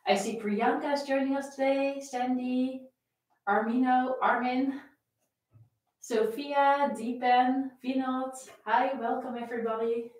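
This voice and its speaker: A woman's voice: high voice, steady tone, measured pace, darkish tone, heavy reverb, distant mic, low-quality recording. A young woman with a Dutch accent, a violin teacher chatting and greeting viewers by name before a live-stream lesson from her home. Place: home room with framed pictures, indoors.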